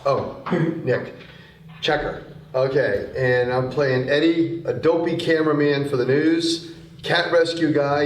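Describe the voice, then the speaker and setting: Casual man in an echoey room. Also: medium pitch. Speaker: actor at a table read. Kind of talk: casual self-introduction, reading character descriptions. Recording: echoey room, distant mic, some noise.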